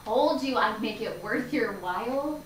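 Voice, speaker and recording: A woman's voice: fairly high pitch, animated, a little slow, faint music behind. A woman acting in a staged play reading as a playful, teasing young enthusiast who coaxes and persuades emphatically. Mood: coaxing, confident. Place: live staged reading in a room. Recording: very echoey, distant mic, faint noise.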